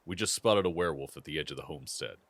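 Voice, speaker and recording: A man's voice: extremely low pitch, very fast, fairly bright tone, dry recording. A man acting a cautious, serious leader of werewolf scouts in an audio drama. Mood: worried, urgent.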